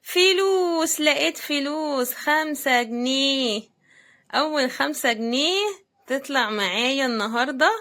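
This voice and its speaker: Higher-pitched Egyptian woman, casual unboxing chat. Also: fairly bright tone. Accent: Egyptian Arabic. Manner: chatty, addresses viewers directly. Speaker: host of product unboxing videos. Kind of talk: casual unboxing commentary to viewers.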